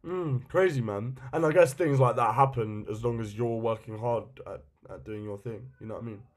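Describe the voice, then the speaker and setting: A man's voice: medium pitch, moderate pace. Laid-back young man interviewing on video call. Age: young adult. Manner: laid-back, slangy, says "you know what I mean". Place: bedroom indoors. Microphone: webcam video call.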